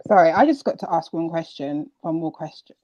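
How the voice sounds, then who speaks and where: A woman's voice: low-pitched, expressive, brisk, mellow. A woman joining as a call-in participant, asking questions in an inquisitive, polite way. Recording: muffled lo-fi call audio.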